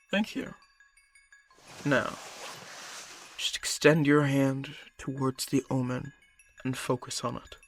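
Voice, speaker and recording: A man playing a calm, persuasive magical creature specialist in an audio roleplay, speaking directly to the listener in a measured, coaxing and instructive way, with music behind him. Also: medium pitch. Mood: coaxing, encouraging. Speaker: voice actor.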